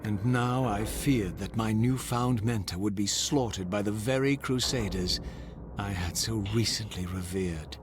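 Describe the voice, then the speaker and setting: A man's voice: lowish voice, unhurried, background noise, lightly acted. A male actor playing a brooding, bitter undead wraith with grave, eloquent, theatrical delivery in a game cutscene. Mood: grim, urgent. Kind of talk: dramatic game cutscene acting and first-person narration. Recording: loud music bed behind voice.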